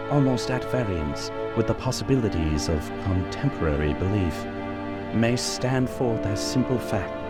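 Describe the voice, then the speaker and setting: Loud music runs beneath a solemn gentleman narrator. His voice sits low, and he speaks formally and deliberately, like a witness in a vintage radio play. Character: grave man formally presenting testimony of dark events. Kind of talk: 1930s radio drama acting, formal narration. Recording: loud music bed under voice.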